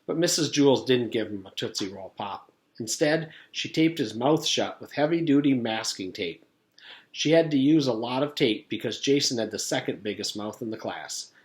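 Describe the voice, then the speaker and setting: A man's voice: mid-range voice, expressive, moderate pace. A man narrating a children's story in a read-aloud style, as a teacher would for pupils.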